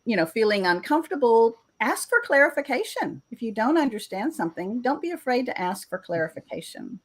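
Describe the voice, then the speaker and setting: A woman who coaches English communication, chatting in an interview over a video call and explaining her points clearly and with energy. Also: a little high, very animated, fairly quick. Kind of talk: casual interview chat.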